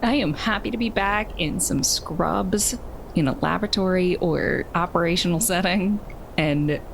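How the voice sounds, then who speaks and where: A woman's voice: sits low, creaky, slight background noise, low-quality recording. A woman playing a tabletop roleplaying game, chatting casually at the table.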